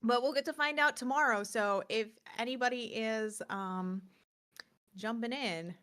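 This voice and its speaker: A woman's voice: on the high side, unhurried, a bit bright. Woman co-host in casual live chat. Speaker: podcast and live stream co-host.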